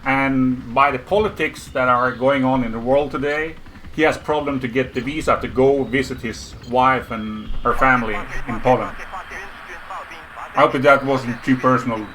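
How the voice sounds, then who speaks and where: Relaxed Swedish-accented male streamer, mid-pitched, noisy stream audio. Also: normal speed. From Sweden. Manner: relaxed, repeats words and restarts, talks to chat. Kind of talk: casual live-stream chat with viewers.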